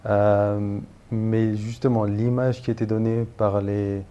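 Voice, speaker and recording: A man's voice: on the low side, level tone, slow pace, mellow, clean tone, highs cut off. A man in his thirties from northern France, a guest telling his story in an interview, hesitant with many fillers and restarts.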